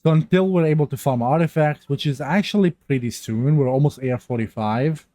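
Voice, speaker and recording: A man's voice: a little high, brisk, warm tone. Stuffy-nosed man rambling over gameplay. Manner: rambling, many restarts and fillers. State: stuffy nose from a cold. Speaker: gaming youtuber. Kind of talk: casual gameplay commentary. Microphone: new mic, untuned.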